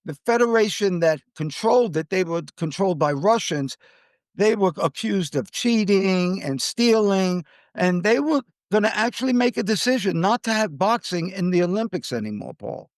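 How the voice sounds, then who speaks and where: A man's voice: high-pitched, animated, normal speed, no room sound. An American man hosting a podcast, giving conversational commentary on the news. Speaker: podcast host.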